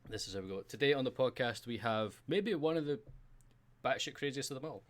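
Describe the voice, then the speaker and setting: Croaky, jokey Scottish man hosting. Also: mid-range voice, lively intonation, brisk. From Scotland. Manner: jokey, teasing, laughs often. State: croaky from just waking up. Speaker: podcast host. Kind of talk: casual live-streamed podcast chat.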